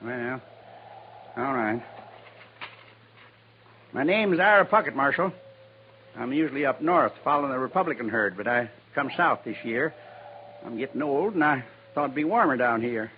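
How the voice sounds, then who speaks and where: An actor playing a cantankerous old American buffalo hunter in a radio western, talking in gruff, folksy frontier dialect. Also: male voice, mid-range voice, lively intonation, normal speed, long pauses, close to an everyday voice. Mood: grudging, bitter. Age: older. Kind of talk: 1950s radio western acting. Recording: muffled vintage radio recording, faint noise.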